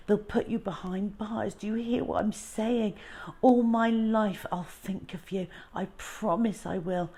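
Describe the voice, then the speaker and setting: A woman's voice: medium pitch, husky. A woman voicing a distraught, devoted young boy in a read-aloud children's storybook, speaking with pleading intensity. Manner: hushed pleading that rises to yelling.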